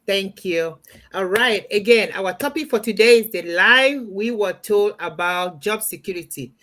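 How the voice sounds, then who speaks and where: A woman moderates a livestream over an online call. Her voice is of average female pitch. She makes announcements, poses questions and passes the floor to others. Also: unhurried, fairly bright tone. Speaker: host.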